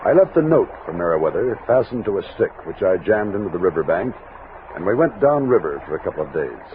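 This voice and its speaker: Deep-voiced, animated American expedition captain. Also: male voice, fast talker, noisy background. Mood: measured, recounting. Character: expedition captain William Clark, commanding, narrating his journey. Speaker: lead actor and narrator. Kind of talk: 1960s radio drama narration and acting. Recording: narrow-band old radio recording, music behind.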